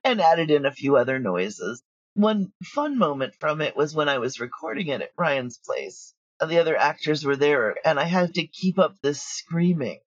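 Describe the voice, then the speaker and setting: A woman talking casually in an interview as a writer and creator, telling her stories in loose, animated, broken sentences. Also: low-pitched, moderate pace, dry recording, lo-fi sound. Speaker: audio drama creator and writer.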